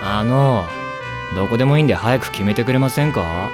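A man playing a cynical, aloof teenage boy wizard in an anime fantasy parody, deadpan and sarcastic. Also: mid-range voice, a little fast, over loud music, lightly acted. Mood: bored.

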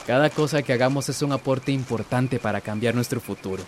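An actor playing a kindly, earnest young environmental volunteer in a radio drama. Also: male voice, mid-range voice, a little fast, natural voice. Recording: faint background noise.

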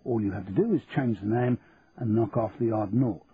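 A man's voice: fairly low pitch, fairly quick, natural voice. A man doing a wry Cockney shopkeeper. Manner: dry, teasing bargaining. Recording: tinny phone-like sound with faint hiss.

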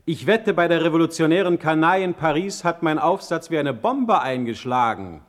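An actor playing a vain, cynical caricature of a poet in a radio play, affected and loudly showy. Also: male voice, on the high side, expressive, lightly acted. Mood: gloating. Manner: affected, loud, drawn-out. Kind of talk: German radio play acting.

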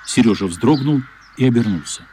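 A man's voice: mid-range voice, expressive, a little fast, warm tone, a little hiss or hum. A man narrating a literary story for a radio play, as a scripted narrator. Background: faint music.

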